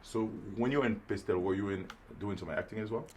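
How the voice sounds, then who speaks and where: A man's voice: fairly low pitch, fast talker, slight background noise. A man interviewing guests, prompting them with questions and often completing their sentences. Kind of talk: casual interview.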